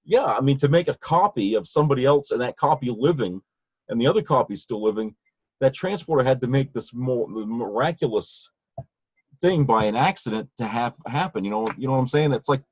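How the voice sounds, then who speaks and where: A man's voice: mid-range voice, expressive, a little fast, husky, no echo. A man co-hosting a podcast, chatting casually in a loose, rambling way, on slightly dull lo-fi audio. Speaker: podcast co-host. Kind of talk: casual chat.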